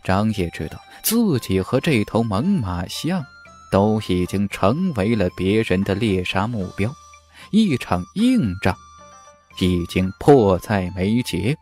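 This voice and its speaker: A man narrating a serialized fantasy audiobook in an expressive storytelling style. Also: lowish voice, takes it slowly, faint music behind.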